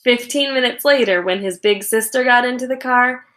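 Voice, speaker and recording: Female reader, voice on the higher side, expressive teacher-style storybook narration for children. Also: moderate pace. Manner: animated, acts out characters' lines. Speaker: teacher reading a book aloud.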